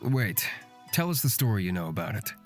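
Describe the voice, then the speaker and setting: A cautious, stern companion from a fantasy visual novel. His voice is fairly low and his delivery is blunt and exasperated. Music is clearly audible behind him. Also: very animated, a little fast. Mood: wary. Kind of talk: visual novel character acting.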